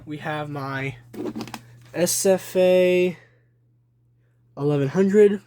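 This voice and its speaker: Casting: young adult man, slightly high voice, casual hobbyist presenter, short deliberate phrases, faint noise in a handheld home recording. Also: dark tone. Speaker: hobby collector presenting a video. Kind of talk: casual show-and-tell narration. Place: small room at home. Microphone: handheld phone behind the camera. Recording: noisy handheld home video with clicking.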